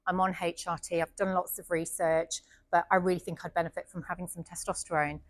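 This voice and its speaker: A woman's voice: on the low side, flat delivery, a little fast, no room sound. A British woman doctor in her thirties or forties giving a friendly, chatty talk to an audience, with frequent ums. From United Kingdom. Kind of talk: informal presentation to an audience. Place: indoor venue with an audience.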